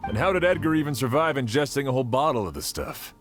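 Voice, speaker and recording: A man's voice: mid-range voice, expressive, fast, faint music behind, lightly acted. An actor playing a skeptical, blunt male investigator in a video game, speaking in a questioning way.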